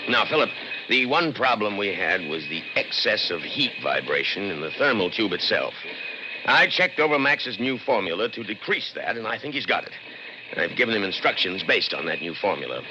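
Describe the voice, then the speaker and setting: A man's voice: on the low side, sing-song, normal speed, over music. A man playing a proud, smug middle-aged scientist with an American accent in a 1960s radio drama, theatrical and scornful of human assistants. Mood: smug, genial. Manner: muttering, theatrical, self-satisfied. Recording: noisy, dull old radio broadcast.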